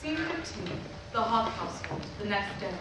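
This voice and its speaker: A woman's voice: mid-range voice, sing-song, normal speed. A woman giving scripted narration, reading out stage directions at a play reading. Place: indoor hall, recorded from the audience. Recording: very echoey hall, distant, loud noise.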